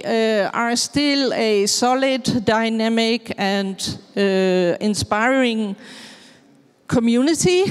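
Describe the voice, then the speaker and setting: Dutch woman speaker, slow and halting, clear voice, hall echo. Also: on the high side. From Netherlands. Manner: hesitant, searching for words in English. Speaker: farmer giving a presentation. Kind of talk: slow halting presentation with many fillers. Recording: long hall reverb.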